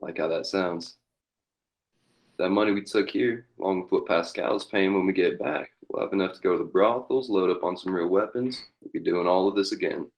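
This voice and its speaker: A man's voice: sits low, normal speed, vocal fry. A man playing a haunted war-veteran leader of an outlaw gang in an amateur western table read. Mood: swaggering.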